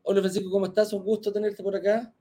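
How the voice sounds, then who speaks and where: A man's voice: sits high, little pitch movement, fast talker, mellow. A man hosting an interview, asking friendly questions and often restarting his sentences. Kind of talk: casual interview.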